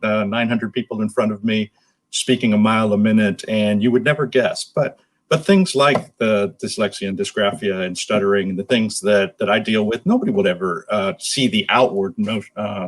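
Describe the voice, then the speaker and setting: A man's voice: lowish voice, steady tone, moderate pace. A man speaking as an interview guest, answering conversationally in an earnest, explanatory way. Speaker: interview guest, nonprofit executive.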